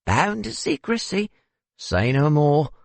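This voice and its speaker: A man voicing a courteous, wistful older gentleman with a South African accent and a theatrical, hesitant manner in an audiobook. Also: a little high, big pitch swings, takes it slowly, vocal fry, dry recording, pitched above the performer's own voice, full character voice. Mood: theatrical curiosity. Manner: theatrical, hesitant, old-fashioned politeness.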